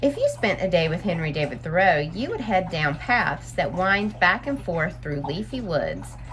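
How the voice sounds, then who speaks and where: A woman's voice: on the low side, big pitch swings, normal speed, a bit bright. A woman reading a picture book aloud to young children, adding simple explanatory asides as a story-time teacher.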